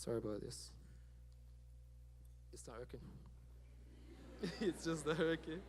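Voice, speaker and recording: A man's voice: a little high, animated, measured pace, a little hiss or hum. A young man from Jamaica with a Jamaican accent, opening a student business presentation on stage with a handheld mic, joking and checking in with the audience. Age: young adult. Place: auditorium stage. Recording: hall reverb.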